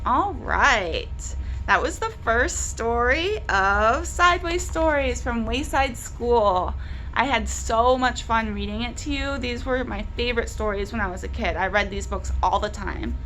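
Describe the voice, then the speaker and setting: A woman's voice: on the high side, unhurried, a bit bright, slight background noise, poor audio. A woman storyteller narrating a children's story aloud, expressively and directly to young listeners. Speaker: library storyteller.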